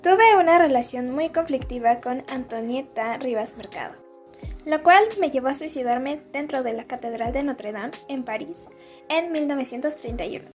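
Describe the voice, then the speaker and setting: A woman with a high-pitched voice reads a scripted first-person life story. She sounds like a student giving a presentation, with music playing behind her. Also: moderate pace. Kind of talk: scripted first-person biographical narration, read aloud.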